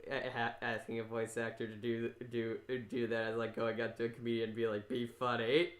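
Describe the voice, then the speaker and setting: A man's voice: mid-range voice, little pitch movement, fairly quick, roomy sound. A man hosting a casual interview podcast, rambling and often stumbling over repeated words. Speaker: podcast host interviewing a creator.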